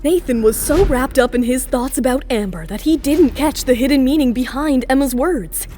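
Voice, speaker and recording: A woman's voice: sits high, moderate pace, warm tone. A woman narrating a scripted audio drama in a dramatic storytelling style, with music underneath. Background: background music.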